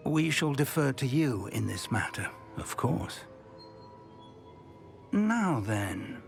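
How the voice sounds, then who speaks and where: An actor playing a kindly older wizard professor and mentor in a game cutscene, speaking thoughtfully. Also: male voice, mid-range voice, very animated, takes it slowly, vocal fry, exaggerated voice. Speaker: game character. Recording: faint music behind.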